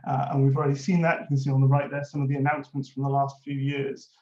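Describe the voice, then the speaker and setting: A man's voice: mid-range voice, monotone, fast, darkish tone. A man with a Welsh accent giving a conference talk, explaining a technical subject with frequent filler sounds. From Wales. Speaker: conference speaker, innovation lead. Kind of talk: presentation talk with slides. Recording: roomy, dull lo-fi audio.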